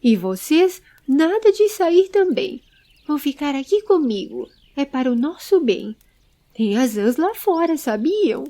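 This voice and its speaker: Uma mulher interpretando um pequeno ser jovem da floresta, medroso, ansioso e exclamativo, numa história em áudio. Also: female voice, high voice, sing-song, a little slow, warm tone, voice pushed up, exaggerated voice. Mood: preocupado. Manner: exclamatory, anxious, eager. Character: small excitable, fearful young male forest creature.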